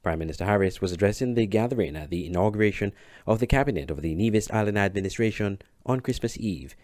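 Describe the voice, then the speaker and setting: A man's voice: lowish voice, lively intonation, fast talker, a little mellow, no room sound, studio quality. A man with a Caribbean accent reading a scripted news report as a reporter.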